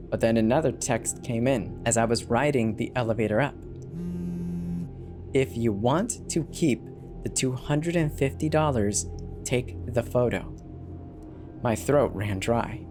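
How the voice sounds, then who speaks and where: A narrator giving an expressive, dramatic first-person reading of a horror story. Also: medium pitch. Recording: background music behind the narration. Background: music.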